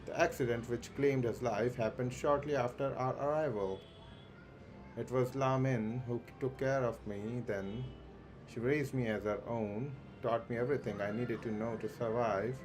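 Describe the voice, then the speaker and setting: A man livestreaming a game, reading its dialogue aloud haltingly, with stumbles and self-corrections. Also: mid-range voice, steady tone. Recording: clearly noisy background.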